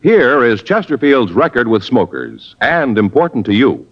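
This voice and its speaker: A man's voice: medium pitch, sing-song, normal speed. An American man reading scripted 1950s radio narration and sponsor copy in a solemn, emphatic way. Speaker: radio announcer. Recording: muffled old radio broadcast recording. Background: music.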